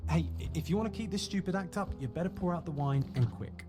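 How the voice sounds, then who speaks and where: A man's voice: a little high, fast talker, music in the background, lightly acted. An actor playing a sarcastic, impatient male sidekick in a video game, speaking in a teasing manner.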